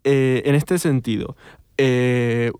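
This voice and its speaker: Canary islands accent guy, podcast host, casual chat, hedges a bit, mid pitch, dry sound. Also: fairly even intonation, takes it slowly, darkish tone, smooth, dry recording. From Canary Islands, Spain. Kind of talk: casual conversational discussion.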